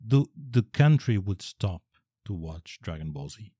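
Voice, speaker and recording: Man with a Portuguese accent, deep and mellow, dry mic, hesitant chatter. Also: big pitch swings, breathy edge, no echo. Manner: halting, many restarts. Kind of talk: casual conversation.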